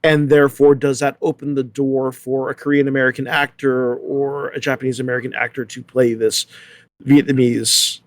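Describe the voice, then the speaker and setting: A man's voice: medium pitch, level tone, moderate pace, no echo. A man speaking casually in a panel discussion, thoughtfully circling back over his points as he works through them. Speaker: theater company leader on a panel.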